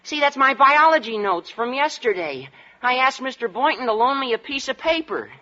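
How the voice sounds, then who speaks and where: A male actor playing an awkward, flustered teenage schoolboy with a squeaky voice in an old radio sitcom. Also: lively intonation, normal speed, sharp tone, full character voice. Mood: defensive, eager. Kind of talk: 1950s radio sitcom acting. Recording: dull old radio recording.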